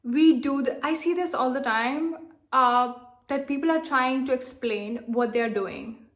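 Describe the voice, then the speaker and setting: A young woman from India with a softened, globalized Indian accent, talking candidly and defiantly straight to her viewers, repeating herself and leaning on "like". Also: high-pitched, unhurried. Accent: Indian, softened toward a global accent. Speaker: self-love coach and channel host. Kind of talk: direct-to-camera vlog monologue.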